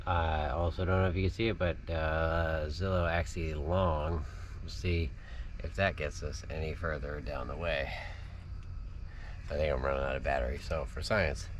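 A man with an extremely deep voice chats informally to a camera. He sounds like a hobbyist vlogger, offhand and unpolished. He is recorded outdoors, with noticeable ambient noise behind him. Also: steady tone, moderate pace. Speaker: FPV drone pilot. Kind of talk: casual vlog talk to camera. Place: outdoors at night in a parking lot beside a car. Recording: clear outdoor background noise.

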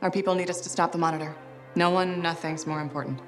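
A woman's voice: lowish voice, steady tone, quick pace, close to an everyday voice. A woman playing a blunt, loyal exosuit pilot in a video game cutscene, speaking in a dry, direct way. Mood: supportive. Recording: music behind the voice.

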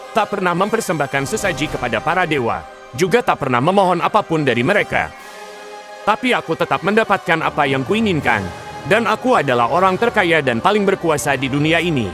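A man's voice: a little high, lively intonation, fairly quick, music in the background, natural voice. A man voicing an arrogant, greedy king who boasts and barks commands in a dubbed cartoon. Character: arrogant greedy middle-aged male king.

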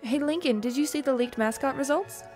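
A woman playing an earnest, headstrong high school girl in a radio play. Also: a little fast, darkish tone, soft background music, lightly acted. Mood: friendly.

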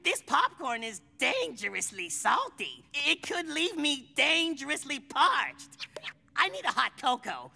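A man's voice: very high voice, sing-song, a little slow, creaky, heavily acted. A man voicing a sly, lazy, bossy marmoset in a cartoon, with a whiny, exaggerated delivery. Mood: demanding, mock-helpless. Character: sly, lazy, bossy male marmoset who fakes helplessness. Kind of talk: animated cartoon acting. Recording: loud cartoon music behind.

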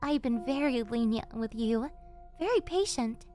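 A woman's voice: on the high side, lively intonation, a little slow, warm tone, faint music behind. A woman playing an obsessive, possessive young yandere girlfriend in an ASMR roleplay, with a cloying, coaxing manner that carries a sweet menace. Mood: quietly threatening. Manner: cloying, coaxing, with breathy giggles and gasps.

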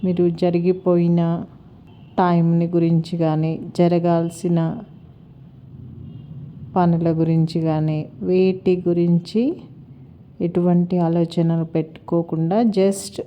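Calm low-pitched woman guiding meditation. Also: dull audio. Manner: calm, soothing, measured with long pauses. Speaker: yoga and meditation teacher. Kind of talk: guided meditation instruction.

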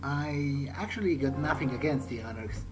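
A man's voice: mid-range voice, moderate pace, noisy background. A man improvising in character during a video game, hesitant and stammering, often repeating words, with game ambience behind him. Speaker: gaming video host playing in character.

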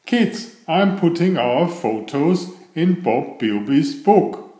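Man putting on a high-pitched playful cartoon mother dog. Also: expressive, slow pace, dark tone, echoey room. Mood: calling out.

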